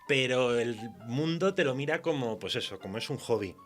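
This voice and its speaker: Male radio guest, Castilian accent, colloquial and halting delivery, faint music bed. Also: mid-range voice, lively intonation, fairly bright tone. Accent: Castilian Spanish. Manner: colloquial, halting, searching for words. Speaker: horror fiction writer. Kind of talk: casual conversation.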